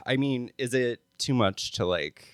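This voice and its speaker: A man hosting a casual podcast and talking in a chatty, relaxed way, often saying "like". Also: mid-range voice, slow pace, smooth, dry recording, clean studio sound. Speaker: podcast host. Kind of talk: casual interview chat.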